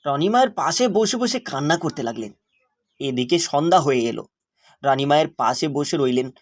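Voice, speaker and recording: A man's voice: fairly high pitch, expressive, brisk, no room sound, studio quality, lightly acted. A man narrating a scripted cartoon story.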